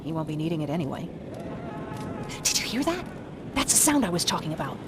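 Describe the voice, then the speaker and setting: A low-voiced wry scavenger. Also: female voice, very animated, heavy background noise. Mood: wry, wary. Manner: brisk, wry, streetwise. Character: streetwise young female scavenger, wry and wary. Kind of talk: game voice acting, companion dialogue.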